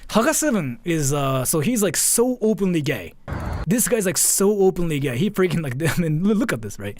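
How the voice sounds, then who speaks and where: A man from Japan chatting casually on a livestream in an animated, rambling, repetitive way, blunt and sweary. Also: a little high, normal speed, slight background noise. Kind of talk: casual livestream reaction chat.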